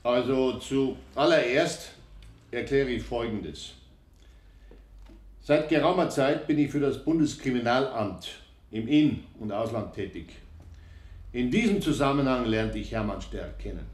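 An actor playing a police informant who gives a formal, measured statement in court. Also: male voice, medium pitch, animated, a little mellow, roomy sound, natural voice. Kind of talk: read testimony in documentary radio play.

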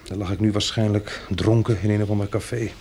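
Gravelly, low-voiced courteous gentleman. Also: fairly even intonation, brisk. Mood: wistful. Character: courteous, wry gentleman survivor in a catastrophe. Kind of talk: radio drama acting, intimate naturalistic dialogue.

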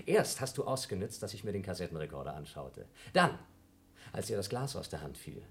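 A man's voice: sits low, big pitch swings, a little fast, fairly bright tone, heavily acted. An actor in a radio play, playing a coldly logical younger man who accuses his companion and grows frantic. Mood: cold accusing. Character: cold, suspicious younger man trapped with his rival. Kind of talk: broadcast radio play acting.

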